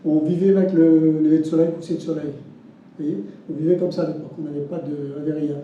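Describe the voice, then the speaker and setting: A man in his seventies from Réunion with a Réunionese French accent, telling his life story haltingly, with repeats and restarts. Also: on the high side, steady tone, slight background noise. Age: 70s. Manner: halting, repeats words, many restarts and fillers. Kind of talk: spoken testimony. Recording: distant mic, roomy, dull and lo-fi.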